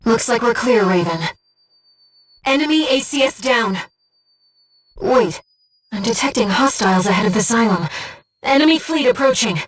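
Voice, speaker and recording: A woman voicing a gentle, earnest, disembodied female companion in a video game, guiding a pilot with composed, precise callouts and briefings. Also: on the high side, lively intonation, moderate pace, long pauses, recorded from a distance, soft background music. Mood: alert, urgent. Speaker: mission support companion.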